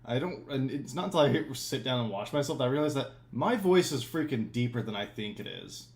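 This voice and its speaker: A man chatting casually as a stream guest, energetic but stumbling over his words. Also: medium pitch, a little fast. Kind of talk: casual chat. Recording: echoey room, faint ambience.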